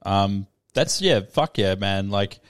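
A man's voice: on the low side, expressive, takes it slowly, smooth, dry recording, studio quality. A man co-hosting a podcast, with blunt, sweary banter. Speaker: podcast co-host. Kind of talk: casual chat.

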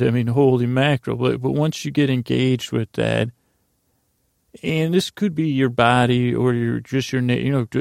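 A man rambles as the host of a bedtime podcast. His improvised talk meanders and keeps interrupting itself. The voice is deeply gravelly with fry, and the sound is very dry. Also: a little mellow, no room sound. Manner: meandering, halting, self-interrupting. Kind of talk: rambling improvised monologue to distract listeners.

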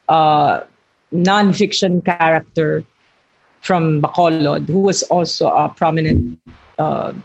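An older woman speaks with a husky, slightly breathy voice. She talks earnestly and reflectively about her work as an actress, searching for words and correcting herself as she goes. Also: fairly low pitch, darkish tone, muffled recording. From Philippines. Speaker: actress, interview guest. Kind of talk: reflective interview talk.

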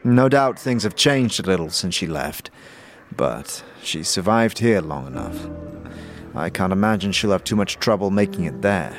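A man playing a weary, kindly, otherworldly traveler with a gentle, cautionary way of talking. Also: sits low, lively intonation, normal speed, over music, voice pushed down. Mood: warm, weary.

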